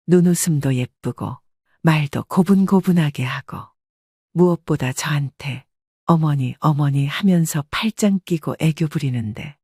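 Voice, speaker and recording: An older woman narrates a personal life story in a confessional, scripted style. Her voice is husky and sounds close, with no room around it. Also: deep voice, darkish tone, no room sound.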